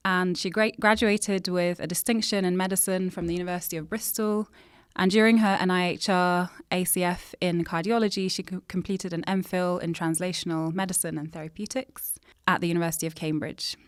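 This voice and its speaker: A woman hosts a science podcast, reading her guest's introduction and then chatting in an upbeat, enthusiastic way. Her voice is smooth and clear and sits a little low. The recording is dry and close, with no room sound. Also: no room sound. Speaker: podcast host. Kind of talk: scripted intro then interview chat.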